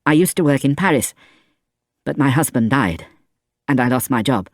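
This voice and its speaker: A man's voice: mid-range voice, lively intonation, normal speed, no room sound, studio quality, voice pushed up. A man doing a weary poor young mother.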